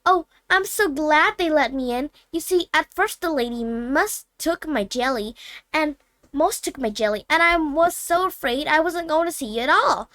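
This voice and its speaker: A woman's voice: high voice, lively intonation, normal speed, a bit bright, no echo, pitched above the performer's own voice. A woman voicing a cheerful, chatty little girl from a storybook, eager and earnest, rambling and correcting herself. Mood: eager, delighted. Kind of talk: storybook character voice.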